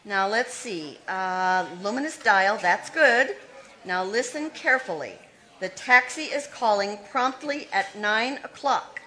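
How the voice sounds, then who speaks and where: A woman's voice: medium pitch, expressive, a little slow, sharp tone. A woman playing a ruthless, scheming young woman who plots a murder, in 1940s radio acting style, cold and commanding. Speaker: radio play actor.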